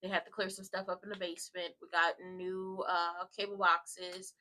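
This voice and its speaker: A congested woman chats loosely and rambles to her live-stream audience from home. Her voice is bright and forward, and her tone stays casual and conversational throughout. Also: fairly low pitch, flat delivery, normal speed. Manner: rambling and chatty, addresses viewers directly. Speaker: live-stream host of a soap watchalong.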